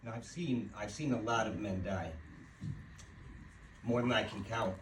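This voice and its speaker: A man playing a haunted, awestruck soldier in a dramatic monologue, halting and repeating phrases for emphasis. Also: fairly low pitch, close to an everyday voice. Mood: grave, haunted. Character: haunted male Roman soldier from the crucifixion, awestruck. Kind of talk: dramatic Easter monologue before a congregation. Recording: echoey room, distant quiet mic, noise.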